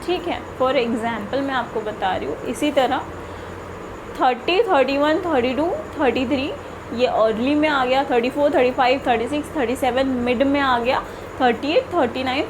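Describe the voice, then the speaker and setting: A woman teaching a spoken English lesson, mixing in English example sentences that she reads out slowly and carefully. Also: sits high, background noise. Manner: slow, deliberate example sentences with frequent "theek hai".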